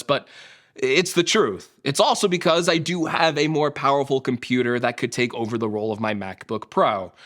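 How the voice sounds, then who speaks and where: A young man, a tech YouTuber, chatting to camera in a casual, self-mocking way, his voice a little hoarse from a sore throat. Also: medium pitch, a bit bright.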